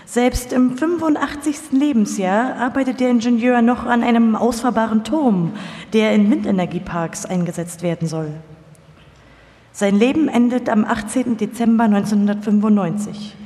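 A woman delivers scripted documentary narration in a fairly high, mellow voice. The long reverb of a large hall trails her words. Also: moderate pace. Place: large hall, live performance.

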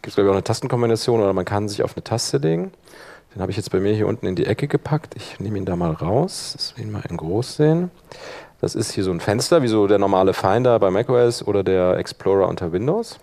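A man speaking colloquial speech, giving an informal live software demonstration and thinking aloud as he works. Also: fairly low pitch, expressive, fast, creaky. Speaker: workshop presenter.

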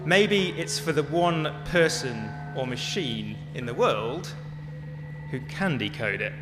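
A man giving a prepared public talk as a speaker, telling stories in a measured, dramatic way. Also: mid-range voice, lively intonation. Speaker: conference speaker. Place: large hall, talk to an audience. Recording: echoey hall with music behind.

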